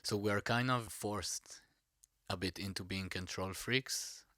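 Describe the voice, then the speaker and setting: A man's voice: fairly low pitch, a little slow, sharp tone, no echo, studio quality. A man with an Israeli accent, a native Hebrew speaker, hosting a podcast in casual chat and rambling with many fillers and restarts. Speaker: podcast host.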